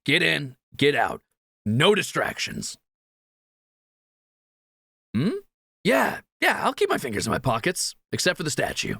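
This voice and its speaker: A young man playing a cocky, excitable, jittery thief in a fantasy roleplay audio drama, joking and quick to exclaim. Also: on the high side, vocal fry, breathy edge, dry recording, studio quality, heavily acted. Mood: playful, resolved.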